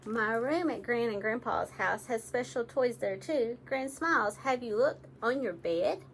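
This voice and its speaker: Higher-voiced woman reading to children, noisy background. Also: unhurried. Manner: animated, engaging, addresses children directly. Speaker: storyteller reading children's books aloud. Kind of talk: expressive read-aloud to young children with questions to the listeners.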